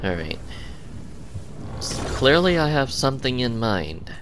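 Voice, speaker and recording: A man giving casual gameplay commentary, halting with many ums and uhs, sniffly from a cold. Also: mid-range voice, very animated, noisy background. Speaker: gaming channel host.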